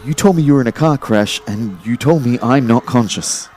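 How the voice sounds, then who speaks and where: A man's voice: medium pitch, a little mellow, faint music behind, natural voice. A man acting a bewildered, stammering young man caught in a disaster in an audio drama. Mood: shaky, halting. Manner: halting, stammering.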